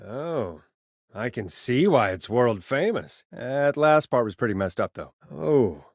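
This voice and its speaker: A wry, harried male radio DJ from a video game, recorded tight and dry with no room sound. His mid-pitched voice swings freely up and down, and his delivery is dry-humored and expressive, made for late-night on-air patter. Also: dry recording. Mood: dry, weary disbelief. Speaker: radio show host.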